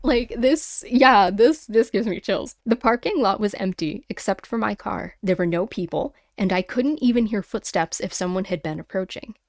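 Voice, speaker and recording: A woman podcast host reading listeners' stories aloud in a casual, conversational way with animated personal asides. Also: mid-range voice, moderate pace, few pauses, no echo, clean studio sound. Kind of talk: casual chatty storytelling with read-aloud emails and asides.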